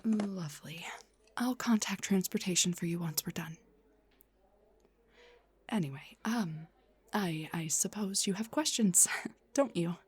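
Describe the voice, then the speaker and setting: A woman playing a gentle, refined vampire doctor in a soft-spoken roleplay, breathy and whispery, with a polite, slightly hesitant manner. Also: fairly low pitch, unhurried, pauses often, a little hiss or hum, natural voice.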